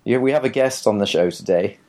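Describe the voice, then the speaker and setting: A young man co-hosting a podcast in dry, deadpan banter, his voice rough from a cold. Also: on the low side, fairly quick. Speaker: podcast co-host.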